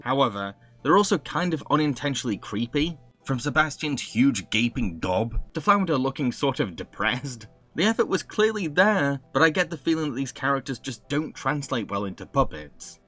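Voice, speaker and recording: A man's voice: mid-range voice, bright, forceful tone. A man with a British accent hosting a scripted video review in a wry, opinionated way.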